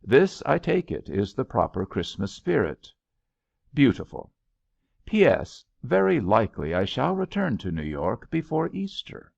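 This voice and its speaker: A man's voice: medium pitch, huge pitch swings, measured pace, vocal fry, darkish tone, no room sound, full character voice. Male reader as a pert young woman in an audiobook: prim, playfully formal, swooping intonation, dry and slightly muffled sound. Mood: prim, teasing. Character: young woman writing a pert, teasing Christmas note.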